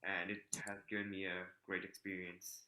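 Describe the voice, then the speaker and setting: A teenage student from Japan speaks calmly and a little haltingly, his lowish voice bright and forward. He sounds picked up by a laptop across an echoey room. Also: slight background noise. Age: late teens. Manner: calm, halting, with ums. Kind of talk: casual answers on a video call. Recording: roomy, echoey laptop audio.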